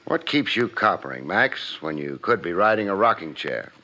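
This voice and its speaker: An actor playing a wry, hard-boiled private detective with dry, punchy delivery in 1950s radio noir style. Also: male voice, low-pitched, sing-song, normal speed, sharp tone, full character voice. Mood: wry, probing. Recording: muffled old radio recording, faint music.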